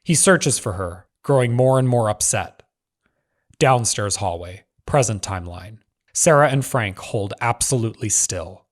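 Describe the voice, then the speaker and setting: A man narrating screenplay stage directions in measured, clipped phrases. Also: fairly low pitch, animated.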